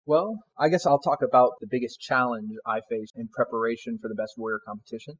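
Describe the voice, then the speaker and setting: A man's voice: medium pitch, fairly even intonation, fairly quick. A man in his twenties, a soldier guest answering interview questions in an earnest, polite way. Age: 20s. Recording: dull lo-fi sound.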